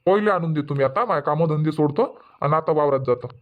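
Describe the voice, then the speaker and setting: A man playing a high-voiced, grumbling village husband. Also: fast, narrow, tinny audio, natural voice. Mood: exasperated. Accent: Varhadi Marathi (Vidarbha). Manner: grumbling, argumentative. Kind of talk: Marathi comedy cartoon dubbing.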